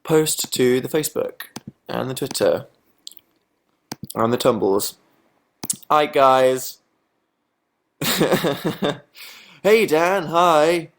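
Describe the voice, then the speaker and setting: A man's voice: on the high side, extremely slow, long pauses. Sarcastic young Englishman chatting live. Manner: sarcastic, deadpan self-mocking jokes. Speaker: livestream host. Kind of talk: casual live chat reading viewer comments.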